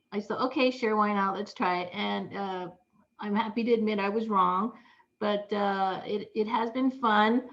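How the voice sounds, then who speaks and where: Woman with fry in a dull recording. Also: medium pitch. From California, born in Japan. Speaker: guest, cooking teacher. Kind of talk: informal self-introduction in an online talk. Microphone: video call. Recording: dull, muffled video call audio.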